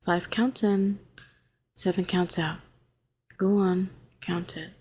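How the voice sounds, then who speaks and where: A woman performs a telepathic villainess in an intimate audio drama. She is a fallen hero with a low voice, sardonic on the surface and protective underneath. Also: steady tone, measured pace, slight background noise, close to an everyday voice. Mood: soothing, tender. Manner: sharp and sardonic, turning soothing and coaxing. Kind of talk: intimate scripted audio roleplay.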